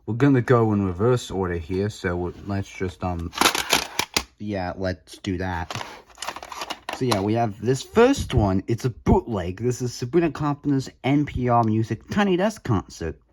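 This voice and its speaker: Offhand low-voiced man showing his collection. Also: big pitch swings, measured pace, a little mellow. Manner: offhand and sarcastic, with dry mumbled asides. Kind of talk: casual show-and-tell vlog.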